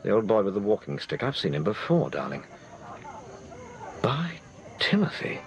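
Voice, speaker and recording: An actor playing an urbane, wry amateur detective in a radio drama. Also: male voice, on the low side, wildly swooping pitch, normal speed, vocal fry, full character voice. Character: suave amateur detective, dry wit.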